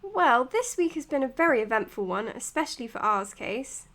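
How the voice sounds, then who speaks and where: A woman's voice: high-pitched, big pitch swings, moderate pace, noisy background. A woman acting in an audio drama as an earnest, matter-of-fact psychologist giving her case notes. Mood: measured, clinical. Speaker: doctor. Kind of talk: audio drama, recorded clinical log.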